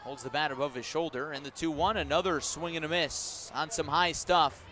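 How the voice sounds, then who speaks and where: A man doing live radio play-by-play of a baseball game, descriptive and unhurried between pitches and punchier on the action. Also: fairly even intonation, background noise. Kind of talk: live radio sports commentary.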